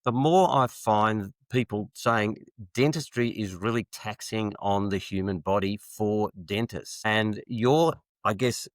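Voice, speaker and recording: A man's voice: mid-range voice, no room sound. A man in his sixties from Australia, hosting an interview podcast in a friendly, talkative manner. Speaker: podcast host.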